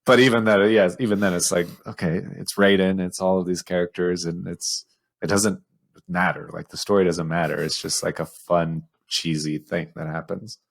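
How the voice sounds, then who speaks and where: A man's voice: low-pitched, little pitch movement, moderate pace, vocal fry. A man co-hosting a podcast, chatting casually and informally about films. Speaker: podcast co-host. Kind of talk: casual film podcast chat.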